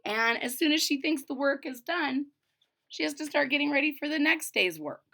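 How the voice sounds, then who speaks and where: A woman reading a children's storybook aloud expressively, with deliberate, emphatic phrasing and simple explanations. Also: high-pitched, lively intonation, creaky, sharp tone. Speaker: storybook reader.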